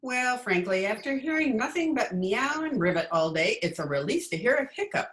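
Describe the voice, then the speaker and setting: Casting: actress as wisecracking schoolteacher, dry wit, higher pitch, expressive inflection, vintage radio sitcom. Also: female voice, moderate pace. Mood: wry. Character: wry, quick-witted female schoolteacher covering for her boss. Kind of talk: old-time radio comedy acting.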